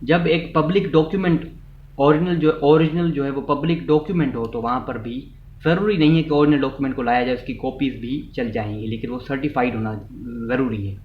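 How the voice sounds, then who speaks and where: A man's voice: mid-range voice, a little fast. A man with a Pakistani accent gives an informal explanatory law lesson, repeating and rephrasing his points and slipping into English legal terms. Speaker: law lecturer. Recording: echoey room, muffled, faint noise.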